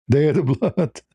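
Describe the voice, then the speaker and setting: Gravelly, dark-voiced combative debate host. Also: male voice, fairly high pitch, dry recording. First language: Arabic. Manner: combative and mocking, repeats himself insistently. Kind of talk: heated live debate.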